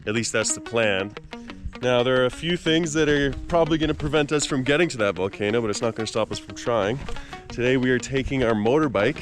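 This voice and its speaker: An upbeat man from Canada talks his way through a travel vlog on a mountain road, casual and chatty, often pausing on an "uh". His voice sits at an ordinary middle pitch, and music plays clearly behind him. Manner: upbeat, chatty, frequent "uh" fillers. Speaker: travel vlogger. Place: outdoors on a mountain dirt road.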